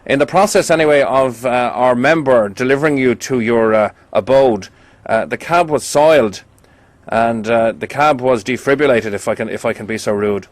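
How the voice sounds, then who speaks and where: Deadpan, officious Irish taxi official played by a man. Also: mid-range voice, normal speed, no echo, close to an everyday voice. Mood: officious, grave. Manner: bureaucratic, hesitant, mock-formal. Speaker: radio prank caller. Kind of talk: deadpan prank phone call.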